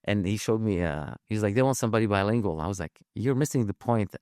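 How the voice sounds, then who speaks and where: Low-voiced man telling his story. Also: animated, darkish tone, smooth, dry recording, studio quality. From Lebanon. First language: French. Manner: halting, many restarts and fillers, swears casually. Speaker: podcast guest. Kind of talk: casual storytelling.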